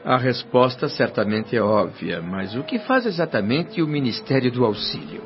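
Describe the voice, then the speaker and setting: An actor playing a curious, awestruck doctor newly arrived in the afterlife, in radio soap style. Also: male voice, medium pitch, very animated, normal speed, lightly acted. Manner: wondering, questioning, theatrical. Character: awestruck, curious newly dead doctor visiting a spirit city. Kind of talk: Brazilian radio soap acting. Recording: dull radio drama with music behind.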